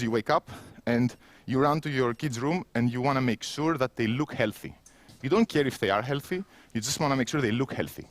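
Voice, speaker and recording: A man's voice: medium pitch, no echo. Keynote speaker, man, 30s, Greek accent, conversational and wry, dry close-miked sound. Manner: self-mocking, conversational, with frequent restarts. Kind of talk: conference keynote. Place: empty indoor room, standing.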